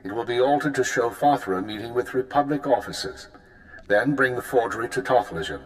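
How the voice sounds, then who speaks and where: A composed intelligence chief, voiced by a man, with a mid-range voice and formal, measured delivery. His tone stays dry and controlled. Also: medium pitch, lively intonation, close to an everyday voice, rough recording.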